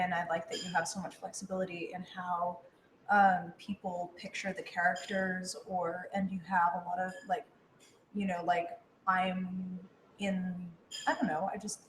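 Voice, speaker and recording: A woman talking casually in a group discussion as a cast member, halting and correcting herself as she goes. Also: fairly low pitch. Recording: echoey room, faint background noise.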